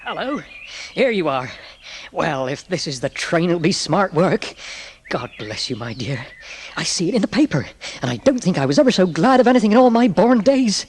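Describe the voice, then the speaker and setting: Flustered, kindly English railway porter. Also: male voice, high voice, sing-song, a little hiss or hum. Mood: delighted. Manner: folksy, effusive, halting. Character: excitable, deferential working-class railway porter, kindly and flustered. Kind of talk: dramatised audiobook acting.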